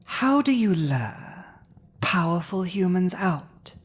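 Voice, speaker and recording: Casting: female actor as villainous dragon queen, lowish register, very unhurried, haughty and teasing, for intimate roleplay audio drama. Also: very animated, breathy edge, full character voice. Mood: thoughtful, scheming. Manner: languid, theatrical, haughty delivery with dramatic pauses.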